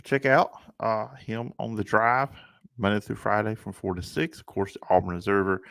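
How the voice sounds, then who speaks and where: Male podcast host, gravelly fry, lowish pitch, casual sports talk. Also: fairly even intonation, normal speed, a bit bright.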